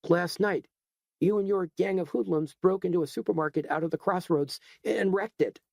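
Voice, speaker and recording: A man's voice: sits high, normal speed, gravelly, no room sound, studio quality, pitched above the performer's own voice. A man voicing an angry middle-aged store owner who talks in a tight, agitated voice. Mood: tight, indignant. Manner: tight, agitated, rising to shouting.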